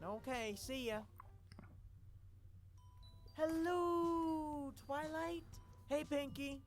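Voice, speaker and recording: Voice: high voice, drawn-out words. A performer voicing awkward, chatty, easily exasperated ponies in an abridged parody cartoon. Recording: cartoon audio with music, under commentary.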